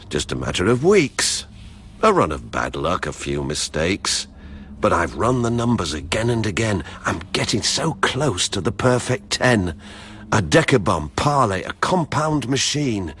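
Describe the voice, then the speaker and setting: An actor playing a proud, broke gambler and former security man in a verse radio drama, speaking with measured, boastful defiance. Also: low-pitched, a bit bright, slight background noise, heavily acted.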